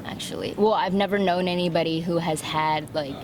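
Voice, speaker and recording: A woman's voice: on the low side, steady tone, fairly quick. A young woman answering street interview questions hesitantly, restarting her sentences, outdoors on a city street. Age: young adult. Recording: street noise.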